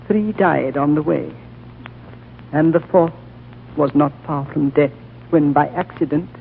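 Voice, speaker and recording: A serene, ancient High Lama, talking slowly and with courtesy in a gravelly, somewhat high voice. The sound is thin and tinny, like an old radio broadcast under faint hiss. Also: male voice. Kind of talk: 1930s radio drama acting.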